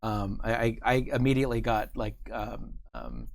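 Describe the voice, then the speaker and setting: A man's voice: fairly low pitch, steady tone, moderate pace, creaky, a little hiss or hum. A man hosting a casual livestream interview, talking in an enthusiastic, rambling way. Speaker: interview show host.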